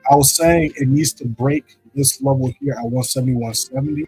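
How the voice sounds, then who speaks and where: A man with a deep voice hosting a live trading stream, talking casually through charts and often checking in with "right?". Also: fairly even intonation, normal speed, dark tone, breathy edge, faint music behind, rough recording. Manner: loose, repeats "right?" to check in with viewers. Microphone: streaming setup microphone.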